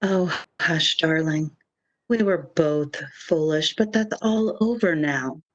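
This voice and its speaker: A woman's voice: sits low, slow. A woman playing a devoted, reassuring lover in a radio romance, speaking soothingly and affectionately on a dull lo-fi microphone. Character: devoted, tender, reassuring woman, long-lost lover returned. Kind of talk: old-time radio romance acting.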